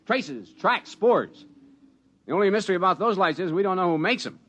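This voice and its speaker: An American actor playing a brash, wisecracking mountain local in a vintage radio drama, emphatic and folksy. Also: male voice, high voice, animated, a little fast, fairly bright tone, muffled recording. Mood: wry, assertive. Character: brash, wisecracking male mountain local who loves his country. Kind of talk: old-time radio drama acting.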